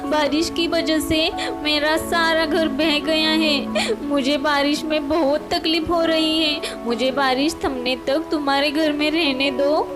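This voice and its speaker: A woman voicing a small, earnest, plaintive female bird in a moral-story cartoon, with slow, emotive delivery. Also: high voice, fairly even intonation, music in the background. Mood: crying, pleading.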